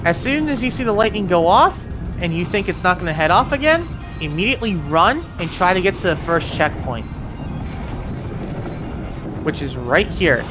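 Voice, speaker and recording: Animated woman narrating a game walkthrough. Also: fairly low pitch, normal speed, music in the background. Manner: addresses the player with step-by-step cautions. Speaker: video game guide host. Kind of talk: voiced walkthrough commentary, instructional.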